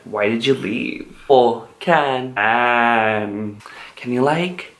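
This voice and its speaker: A man's voice: mid-range voice, extremely slow, a bit bright. A man putting on the voice of a nosy, ditzy young woman who gushes out clichéd questions. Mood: incredulous. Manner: gushing, valley-girl questioning. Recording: roomy echo, faint music.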